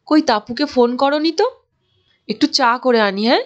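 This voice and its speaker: A woman playing a caring, gently worried middle-aged mother in a cartoon story, speaking in a solicitous, motherly way. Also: sits high, moderate pace, highs cut off, close to an everyday voice. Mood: surprised, concerned. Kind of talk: Bengali cartoon story acting.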